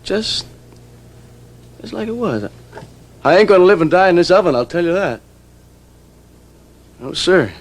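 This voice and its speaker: A bullying small-town shopkeeper from a vintage TV drama. His pitch lurches up and down as he badgers people, repeating himself with a volatile, controlling temper. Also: lively intonation, a little slow, long pauses, heavily acted. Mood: bitter, desperate. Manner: badgering, repeats questions insistently.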